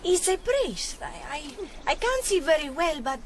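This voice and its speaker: A woman's voice: very high voice, big pitch swings, moderate pace, slight background noise. An actress playing a bold, knowing Spanish teenage girl, pert and inquisitive, in a radio historical drama. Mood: cheeky.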